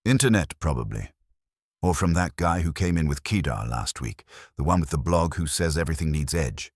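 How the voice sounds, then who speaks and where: A man's voice: rumbling low, lively intonation, moderate pace, husky, dry recording, studio quality, exaggerated voice. A man voicing a weary, sardonic young woman apprentice baker, curt and guarded, in an audiobook mystery. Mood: wry.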